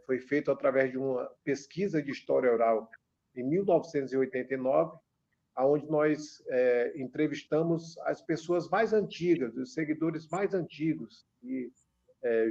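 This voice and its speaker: A Brazilian man in his fifties, a guest on a radio interview, explaining at a measured pace with many filler pauses. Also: medium pitch, creaky, a little mellow. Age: 50s. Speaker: author and religious researcher guest. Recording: dull lo-fi radio sound.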